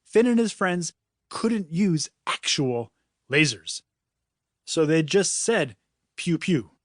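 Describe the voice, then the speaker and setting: A man's voice: on the high side, sing-song, slow, dry recording, studio quality. A man reading a children's audiobook in a dramatic, playful storytelling manner. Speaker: author reading his own audiobook. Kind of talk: scripted children's story narration.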